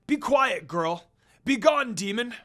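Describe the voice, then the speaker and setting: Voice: mid-range voice, animated, slow pace, pushed and bright, voice pushed up. A stern old monk from a fantasy fan story, acted out by a narrator. He is hostile and demanding, with swooping, accusing delivery. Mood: commanding.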